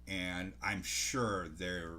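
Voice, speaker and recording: Deep-voiced older man, halting and rambling. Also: steady tone, pushed and bright. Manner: halting, rambling, self-correcting. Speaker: game store owner and video host. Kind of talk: casual product news talk to camera. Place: small home office by a window, indoors. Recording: clearly noisy home recording, low hum.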